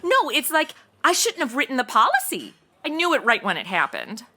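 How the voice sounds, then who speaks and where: Bubbly young female novice seller, higher-pitched, extremely swooping delivery, slangy, flippant, audio comedy. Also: huge pitch swings, a little fast, sharp tone, heavily acted. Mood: rueful, exasperated. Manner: flippant Valley-girl slangy chatter. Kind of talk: comedy audio drama acting.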